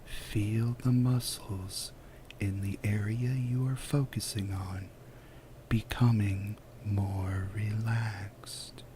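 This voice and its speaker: A man softly guiding a relaxation visualization in ASMR style, with a breathy, whispery voice and a soothing, unhurried delivery full of pauses. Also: on the low side, fairly even intonation, noisy background. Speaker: guided relaxation narrator. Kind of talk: soft-spoken ASMR guided visualization.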